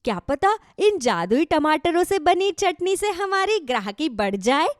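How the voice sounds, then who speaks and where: A woman plays an excitable village wife in a children's moral cartoon. Her voice is high and dramatic, swinging widely in pitch, in a dry recording. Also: unhurried, no echo, exaggerated voice. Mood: hopeful. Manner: dramatic, wide-eyed exclaiming. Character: easily frightened, hopeful village wife.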